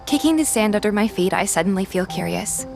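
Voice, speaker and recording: A woman voicing a shy, soft-hearted young heroine in a game fan dub, earnest in both narration and dialogue. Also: medium pitch, lively intonation, a little fast, creaky, music in the background. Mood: pensive narration.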